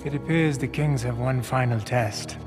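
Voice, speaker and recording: A man playing a smug, menacing middle-aged warlord in a video game, speaking in a measured, taunting, theatrical way. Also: mid-range voice, close to an everyday voice. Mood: grandly narrating. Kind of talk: dramatic video game cutscene acting. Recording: long hall reverb under music.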